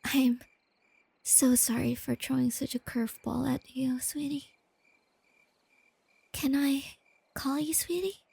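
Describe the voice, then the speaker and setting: A woman voicing a shy, nerdy young girlfriend in a soft-spoken ASMR roleplay, hesitant and tender, with a soft, breathy, often whispery delivery. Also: high-pitched, dry recording, exaggerated voice. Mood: tender, affectionate. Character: shy, nerdy, self-doubting young girlfriend, gentle and affectionate.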